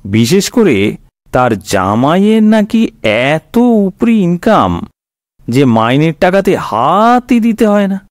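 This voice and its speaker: A man's voice: high voice, big pitch swings, slow, dry recording, clean studio sound. A man with an Indian Bengali accent telling scripted satirical stories in a colloquial, wry, explanatory way. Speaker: comic storyteller.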